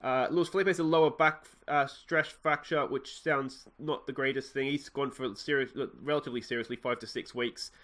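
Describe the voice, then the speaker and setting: A man's voice: mid-range voice, moderate pace, few pauses. Nasal Australian man commentating a game. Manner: rambling, self-correcting, excitable at goals. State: slightly nasal from a cold. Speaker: let's play host. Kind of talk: casual gameplay commentary.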